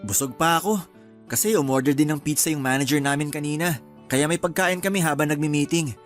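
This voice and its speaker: An actor playing a tired, affectionate young husband in a Filipino radio drama, soothing and calm, with a Filipino accent. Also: male voice, fairly high pitch, fairly quick, soft background music, close to an everyday voice. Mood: weary, affectionate.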